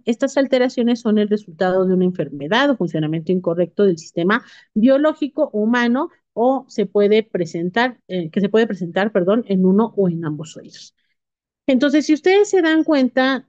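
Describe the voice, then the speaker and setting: A woman teaching a class, explaining terms in a steady, patient way with occasional fillers and self-corrections. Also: medium pitch, no room sound, muffled recording.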